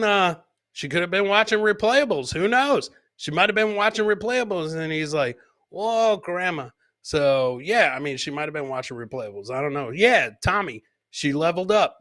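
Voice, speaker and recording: A man with a slightly high-pitched voice hosts a comedy clip show. He comments casually, as if chatting live, and likes to repeat a phrase for comic emphasis. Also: fairly bright tone.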